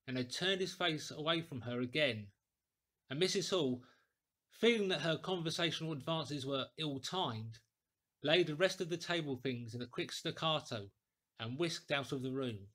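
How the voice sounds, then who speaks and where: A man reading a classic story aloud in a deliberate, theatrical, clearly articulated way. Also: fairly high pitch, sharp tone. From England. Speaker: audiobook reader, actor. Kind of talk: dramatic scripted storytelling.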